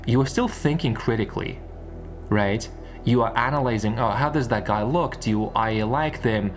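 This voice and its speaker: A man giving a casual explanatory monologue like an online coach, repeating points for emphasis and often checking for agreement. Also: lowish voice. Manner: emphatic and repetitive, often seeking agreement with tag questions. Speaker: YouTube business and spirituality coach.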